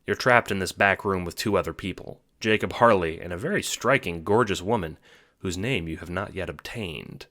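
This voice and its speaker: Very deep, forceful male narrator. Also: normal speed. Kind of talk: scripted second-person audio drama narration.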